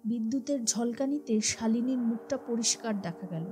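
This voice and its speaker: High-voiced woman telling a horror story. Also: normal speed, mellow, slight background noise. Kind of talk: dramatic scripted story narration. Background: music behind the voice.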